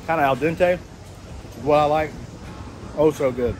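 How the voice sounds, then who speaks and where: A man's voice: fairly high pitch, unhurried, soft background music. Folksy man cooking outdoors. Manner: folksy, chatty, says "y'all" often. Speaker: cooking channel host. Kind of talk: casual cooking vlog. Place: outdoors on a beach by the ocean. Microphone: clip-on lapel mic.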